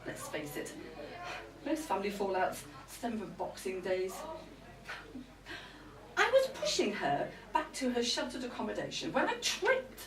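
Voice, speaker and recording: A woman's voice: mid-range voice, wildly swooping pitch, unhurried, long pauses, crowd noise. A woman playing an irritable, aggrieved middle-aged wife in a live comic monologue, complaining indignantly. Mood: aggrieved, griping. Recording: roomy live event, distant mic.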